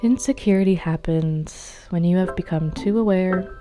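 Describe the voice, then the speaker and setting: A woman narrating a guided meditation in a calm, measured, instructional manner. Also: fairly low pitch. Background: meditation music.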